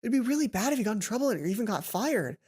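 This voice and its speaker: A woman hosting a let's play, reading a visual novel aloud and adding chatty, informal, animated asides. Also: a little high, fast, husky. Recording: music behind the voice. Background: game music.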